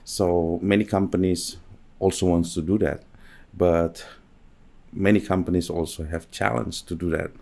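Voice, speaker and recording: A man's voice: sits low, fairly even intonation, unhurried, vocal fry, darkish tone. A middle-aged man hosting a studio podcast interview, asking questions with hesitant fillers. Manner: hesitant, lots of fillers and listening murmurs. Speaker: host. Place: small studio set. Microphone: studio mic on boom arm, headphones.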